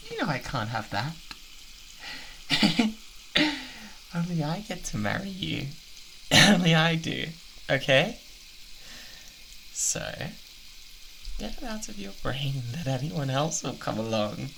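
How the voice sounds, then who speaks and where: A man with a British accent playing an obsessive, unhinged boyfriend, doting and menacing, in a halting, intimate ASMR roleplay. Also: fairly high pitch, sing-song, gravelly, pushed and bright, slight background noise, heavily acted, rough recording. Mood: possessive, amused, then menacing, gleeful. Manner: halting, giggly, theatrically coaxing.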